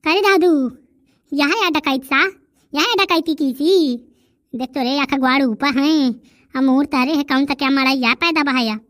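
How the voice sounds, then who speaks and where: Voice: sits high, moderate pace, a bit bright, dry recording. A performer voicing a fretful, nosy old village granny in a cartoon comedy, exclaiming in rustic Bundelkhandi speech. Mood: alarmed, curious.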